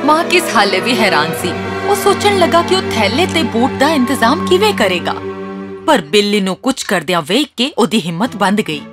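A woman's voice: on the high side, big pitch swings, normal speed. A woman narrating a scripted fairy tale for children. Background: loud music.

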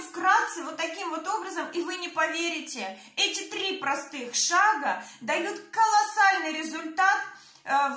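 Thirties woman, high voice, lecturing earnestly into her phone, lots of uh's, small echoey room. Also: pushed and bright. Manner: earnest, lecturing with many hand gestures and hesitant "uh" fillers. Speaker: health group curator. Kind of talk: explaining a wellness program to camera. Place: small indoor room at a desk.

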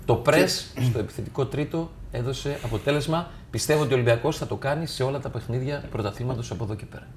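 A man's voice: medium pitch, few pauses, a little hiss or hum. A man talking as a football analyst, walking through match clips step by step and addressing viewers. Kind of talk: tactical match analysis over video.

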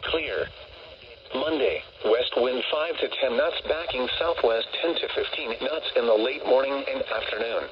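A man announcing on weather radio, reading a scripted forecast in a stilted, measured, impersonal way through a small receiver speaker. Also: medium pitch, very animated, creaky. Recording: dull, very noisy weather radio broadcast.

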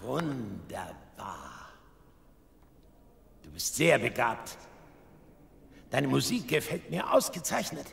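A man's voice: medium pitch, very animated, slow pace, vocal fry, long pauses, fairly bright tone, heavily acted. An actor playing a troubled, volatile king in a theatrical, commanding manner in a Bible audio drama. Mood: soothed, pleased. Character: troubled, volatile king, swinging from anguish to booming festivity.

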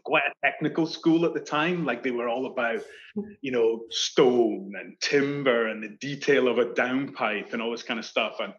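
A Scottish man in his thirties, an architect talking casually in an interview over a video call, animated and full of restarts. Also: medium pitch, moderate pace, slight background noise. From Scotland. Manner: animated, gestures with hands, many restarts. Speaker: architect guest. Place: indoor room on video call. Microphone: video call webcam. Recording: dull lo-fi call audio.

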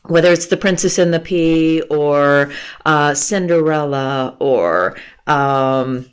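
A woman's voice: low-pitched, slow pace, clean tone. A woman in her fifties, a guest author on a video call, explaining things patiently and laughing often. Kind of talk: conversational interview. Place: room with bookshelves. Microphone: earphone mic on a video call.

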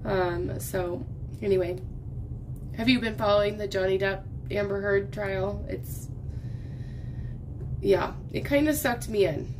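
A woman's voice: mid-range voice, slow, pauses often, background noise. A woman in her thirties chatting while she works on a craft, rambling from thought to thought. She is getting over a lost voice. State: recovering from lost voice, coughing. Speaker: crafting vlogger. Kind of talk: casual chat while diamond painting. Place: indoors at a craft table.

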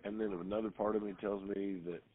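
A man's voice: lowish voice, level tone, fast talker, crowd noise. A middle-aged man giving casual advice over a landline phone, hesitant, puzzled and plain-spoken. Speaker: staffer taking a phone call. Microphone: landline telephone. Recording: tinny landline phone call.

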